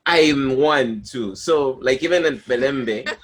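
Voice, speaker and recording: Zambian guy chatting on a call, lots of ums and restarts, regular pitch. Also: takes it slowly. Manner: hesitant, many fillers and restarts. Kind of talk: casual chat.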